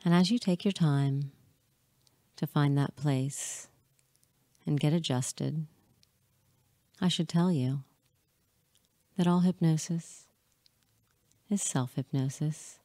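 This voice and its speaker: A woman guides a hypnosis session in a low, soft-toned and relaxed voice. She speaks very slowly and soothingly, leaving pauses between short phrases, and sounds close and dry, with no room around her. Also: no echo. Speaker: hypnotist guiding listeners.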